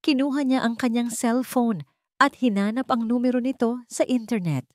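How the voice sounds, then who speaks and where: A woman's voice: on the high side, normal speed, no room sound, clean studio sound. A woman narrating a scripted romantic drama in a dramatic storytelling style.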